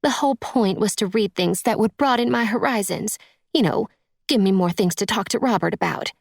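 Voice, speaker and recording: A woman's voice: mid-range voice, a little fast, vocal fry, no room sound, clean studio sound, pitched above the performer's own voice. A woman voicing a tearful, vain young trophy wife in an audiobook, with a whiny, sniffly delivery. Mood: sulky, defeated.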